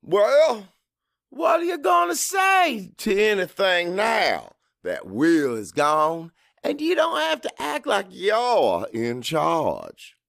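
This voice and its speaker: An actor playing a crude, hot-tempered Cajun father who narrates his own story in a gruff, expressive audiobook style. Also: high voice, takes it slowly, no room sound, clean studio sound, heavily acted. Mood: angry. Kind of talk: first-person dramatic audiobook narration.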